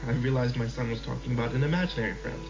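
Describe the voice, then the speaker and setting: A man's voice: medium pitch, gravelly. Man narrating a horror story. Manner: measured, slow in tense passages. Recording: slightly dull lo-fi recording with music.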